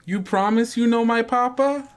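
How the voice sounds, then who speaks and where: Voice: medium pitch, moderate pace, voice pushed up, lightly acted. A game master voicing a small, trusting young girl who speaks in a hesitant, childlike way for a tabletop game. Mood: hopeful.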